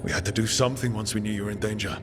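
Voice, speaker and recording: An informal, reassuring adventure leader from a video game voiced by a man. His voice is on the low side and a little wry, set over clearly heard music. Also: steady tone, racing, close to an everyday voice. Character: casual, reassuring male adventuring party leader. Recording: music under the voice.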